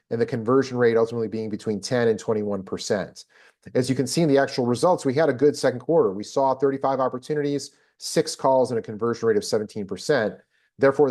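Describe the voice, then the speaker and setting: Male presenter, medium pitch, analytical, measured, business report delivery. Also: a little mellow. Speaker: host presenting a job market report.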